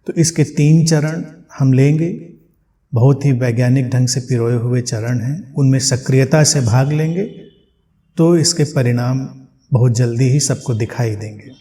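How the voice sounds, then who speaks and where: Guy leading guided meditation, really slow and mellow, gentle, lots of long pauses. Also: medium pitch, a little hiss or hum. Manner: gentle, unhurried, with long pauses between short phrases.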